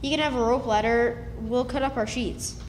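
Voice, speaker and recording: A woman's voice: fairly high pitch, fairly even intonation, moderate pace, a little hiss or hum, close to an everyday voice. A woman playing an earnest, scheming runaway country boy in a radio play, with folksy speech. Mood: eager, scheming. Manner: folksy, eager. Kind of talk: stage radio drama acting. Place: live stage in a hall. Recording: reverberant hall recording.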